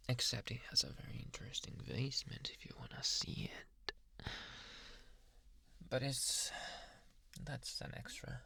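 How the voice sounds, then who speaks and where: A man playing a possessive, softly menacing psychiatrist in an ASMR roleplay, coaxing in a hushed, breathy, deliberate voice. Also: no room sound, full character voice. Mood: coaxing, insinuating. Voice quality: breathy, gravelly low voice.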